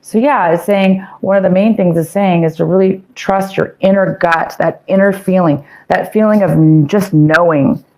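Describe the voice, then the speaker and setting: Casting: young woman tarot reader, slightly low voice, hoarse from losing it, loose and repetitive delivery. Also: steady tone, moderate pace, few pauses, darkish tone. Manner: rambling, repeats phrases, stumbles over words. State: losing her voice. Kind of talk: casual tarot reading to viewers.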